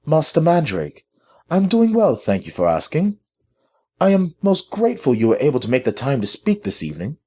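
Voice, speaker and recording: Man voicing a courteous British noblewoman, pitched up. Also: huge pitch swings, darkish tone. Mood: polite, grateful. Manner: formal, measured, courteous. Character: polite, authoritative British mistress, pleading diplomatically.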